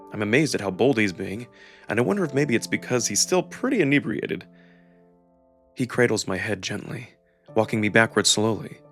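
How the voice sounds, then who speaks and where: A man with a low voice narrates a visual novel in the first person. His storytelling is intimate and expressive, with music playing underneath.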